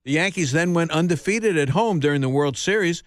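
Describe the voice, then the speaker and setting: High-pitched male narrator, dry sound. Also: expressive, brisk, no room sound. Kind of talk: scripted documentary narration.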